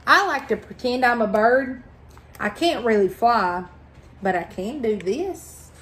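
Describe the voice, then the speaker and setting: A middle-aged woman reading a picture book aloud to children, phrasing expressively and deliberately. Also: fairly high pitch, animated, fairly bright tone, a little hiss or hum. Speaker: library storytime reader. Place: indoors at a table in a small room.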